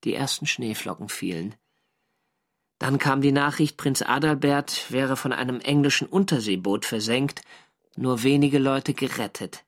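Young navy diarist, mid-pitched, measured literary radio drama narration. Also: male voice. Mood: reflective. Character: young German navy sailor, diarist recounting war service. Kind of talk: scripted first-person radio drama narration.

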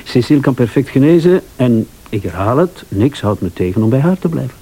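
An actor playing a scheming, impatient, smooth-talking husband in a radio thriller, with a Flemish accent. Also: male voice, mid-range voice, very animated, brisk, warm tone, low-quality recording. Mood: calm, coaxing. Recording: radio drama with clear tape hiss.

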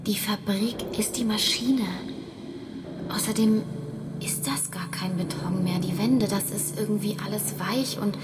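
A woman playing a dreamy, awestruck figure drawn to strange visions in a radio drama, with a hushed, poetic, wondering manner. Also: mid-range voice, measured pace, very noisy, close to an everyday voice.

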